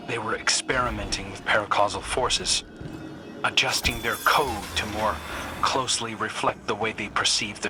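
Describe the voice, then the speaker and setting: A man's voice: on the low side, lightly acted. A man playing an alert, practical scout in a video game, speaking in a plain, matter-of-fact way. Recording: loud music underneath.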